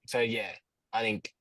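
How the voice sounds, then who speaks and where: A young man answering questions as a prospective client, in a hesitant, halting and understated way. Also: medium pitch, very animated, gravelly, fairly bright tone, husky, no room sound. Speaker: prospective client on a sales call.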